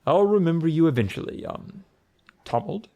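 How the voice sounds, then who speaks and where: Man acting harried, grumpy resort manager, animated pitch. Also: normal speed, vocal fry. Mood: flustered.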